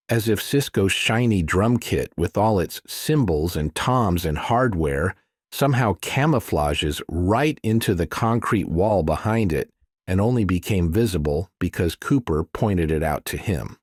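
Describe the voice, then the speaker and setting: Deep-voiced man narrating a thriller. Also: expressive, measured pace, a little mellow, slightly rough, no room sound, clean studio sound. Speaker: audiobook narrator. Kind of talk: scripted thriller narration, present tense.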